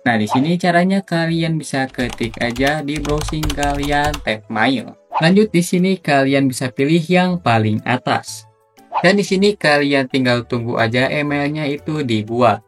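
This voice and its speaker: A man's voice: fairly high pitch, moderate pace. Indonesian man hosting a chatty tutorial over music. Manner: chatty, slangy, addresses viewers directly. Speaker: YouTube tutorial host. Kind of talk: casual scripted tutorial narration.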